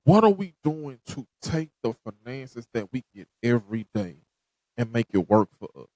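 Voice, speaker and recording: A man's voice: mid-range voice, big pitch swings, moderate pace, dark tone, no room sound, rough recording. A man hosting a podcast, giving a direct, motivational talk that repeats its points. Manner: preachy, direct, repeats himself. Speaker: podcast host.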